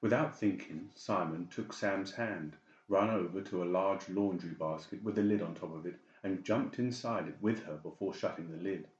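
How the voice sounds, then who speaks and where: A man reads dramatic story narration for an audiobook. His voice is very low. The recording is roomy and a bit muffled. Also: moderate pace, few pauses. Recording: roomy, slightly dull sound.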